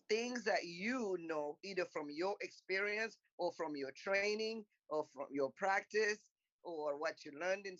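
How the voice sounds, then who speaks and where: A woman's voice: medium pitch, a bit bright, dry recording. A woman from Congo whose first language is French, chatting as she hosts an online panel. She is encouraging and talks through what she is doing. Her voice comes through a muffled, very dry online line. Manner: chatty, encouraging, thinks aloud while typing. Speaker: host and moderator of an online panel. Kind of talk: casual hosting of an online talk.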